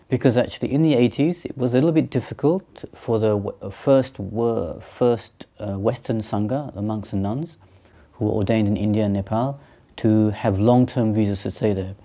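A man in his thirties, from Sri Lanka and raised in England, a Buddhist monk answering interview questions thoughtfully, with many pauses and restarts. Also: fairly low pitch, mellow. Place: small indoor room by a shrine.

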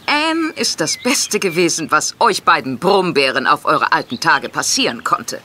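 Bright, opinionated, chatty older neighbour. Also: female voice, deep voice, very animated, a little slow, a little hiss or hum, exaggerated voice. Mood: heartfelt. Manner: theatrical, emphatic, teasing. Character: Rachel, opinionated, chatty, good-hearted older neighbour woman. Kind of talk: German audio drama acting.

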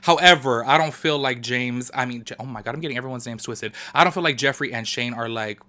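A young man talking to camera in his garage, his voice raspy and nasal from allergies, chatting casually and animatedly with his viewers. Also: mid-range voice, fast, sharp tone. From Southern California. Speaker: beauty commentary YouTuber. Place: home garage. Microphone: camera close up, studio framing.

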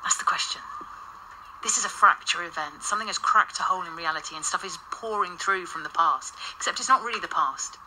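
An actress playing a cool, wry, authoritative English paranormal investigator, brisk and dry, in a radio drama. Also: female voice, on the low side, bright, forceful tone, low-quality recording. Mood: dry, assured. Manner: brisk, dry, self-assured. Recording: clear background noise and ambience.

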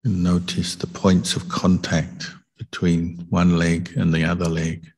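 A man leading a guided meditation as a teacher, speaking calmly and gently with deliberate pauses between short phrases. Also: deep voice, breathy edge. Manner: calm, deliberate, gentle instruction with long pauses. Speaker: Buddhist monk meditation teacher.

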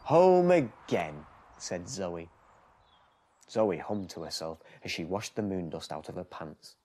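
British guy doing bedtime stories for little kids, slow and gentle, kinda husky. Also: sits low. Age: 30s. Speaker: children's bedtime story reader. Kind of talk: expressive scripted storytelling for young children. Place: TV studio set.

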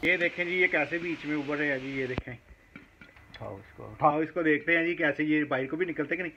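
A man's voice: a little high, fairly quick, sharp tone, lo-fi sound. A man in his thirties filming a casual home vlog on his phone, narrating cheerfully to viewers and exclaiming often. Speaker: vlogger. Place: home kitchen and rooftop, indoors and out. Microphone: phone camera held in hand. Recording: noisy phone recording.

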